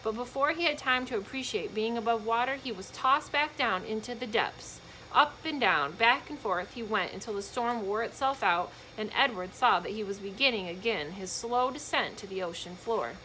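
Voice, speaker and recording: A woman's voice: on the high side, animated, moderate pace, sharp tone, slight background noise, rough recording. A woman reading a children's storybook aloud expressively, with casual explanatory asides to young listeners.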